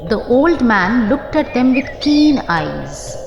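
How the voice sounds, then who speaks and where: A woman's voice: on the high side, very animated, slow pace, vocal fry, highs cut off. A woman narrating a scripted horror story as an audio drama storyteller. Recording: echoey, long reverb under music. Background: music and birdsong.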